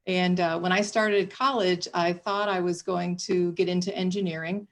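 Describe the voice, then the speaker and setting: A woman's voice: on the low side, fairly even intonation, normal speed. A woman in her fifties from Michigan with a Michigan accent, a city official chatting through her background on a panel. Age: 50s. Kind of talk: panel introduction.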